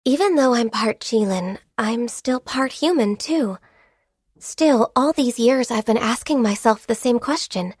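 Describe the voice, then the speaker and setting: A woman voicing a shy, gentle, diligent half-Qilin secretary in a video game, with polite, earnest, soft-spoken delivery. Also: fairly high pitch, dry recording, studio quality. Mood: shy, confiding, then wistful. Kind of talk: video game character voice lines.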